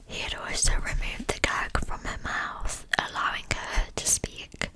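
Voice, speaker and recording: A woman narrating a scripted true crime story as ASMR in a breathy, unvoiced whisper, with a measured storytelling manner. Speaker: ASMR channel host.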